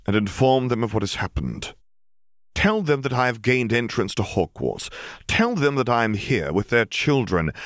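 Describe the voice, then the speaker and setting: A man doing a cold, commanding dark wizard. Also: mid-range voice, dry recording, studio quality, voice pushed up, lightly acted.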